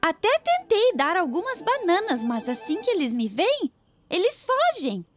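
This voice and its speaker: A fretful, excitable lady train in a kids' cartoon. Her Brazilian voice is very high and swoops widely, over clear background music. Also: a little slow, exaggerated voice. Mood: flustered. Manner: worried, exclamatory. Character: anxious, excitable female railway vehicle. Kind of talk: animated children's cartoon dialogue.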